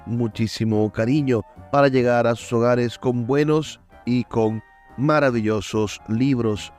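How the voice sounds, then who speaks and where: A Venezuelan man speaks as a radio host, reading scripted announcements. His pitch sits in the middle of the male range, and light music plays under his voice. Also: a little mellow. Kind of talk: scripted radio presenting and sponsor reads.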